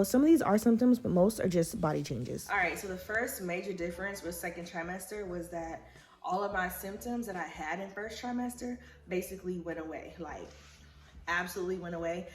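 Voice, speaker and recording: A young woman from Liberia, congested, chatting casually and rambling with many fillers in a vlog. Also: fairly low pitch. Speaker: vlogger. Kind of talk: casual pregnancy recap vlog. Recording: roomy echo, faint music.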